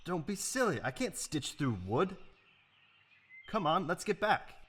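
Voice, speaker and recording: Man acting exasperated doctor, bright and sharp. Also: high voice, very animated, breathy edge, full character voice. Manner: brisk, incredulous, grudging. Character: sceptical, exasperated male doctor humouring a patient. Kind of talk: radio drama acting.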